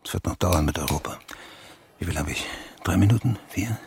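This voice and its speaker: An actor playing a sly, nervy French snoop in a radio play, muttering tersely. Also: male voice, deep voice, fairly quick, creaky, noisy background. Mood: furtive, tense. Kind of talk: radio play dialogue with muttered, hurried asides.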